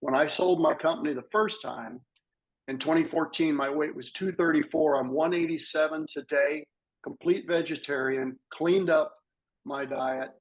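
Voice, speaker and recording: A man in his sixties with a husky voice, earnestly telling his own patient story and advising listeners. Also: fairly high pitch, moderate pace, poor audio. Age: 60s. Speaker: patient panelist. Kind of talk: sharing a personal story on a video panel.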